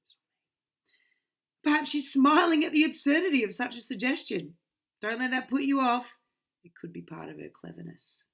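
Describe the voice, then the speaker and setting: A woman reads a children's book aloud, swinging from bold declarations to hushed, drawn-out lines. Her voice is slightly husky with a gravelly edge, and her pitch leaps about. She sounds close to a webcam in a small bedroom. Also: big pitch swings. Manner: dramatic, swinging from loud to hushed. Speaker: reader.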